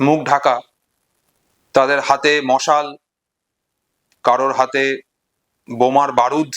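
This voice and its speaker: A man retelling and explaining a short story in an unscripted lesson, deliberately, pausing between short phrases. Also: fairly high pitch, a bit bright. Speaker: literature explainer.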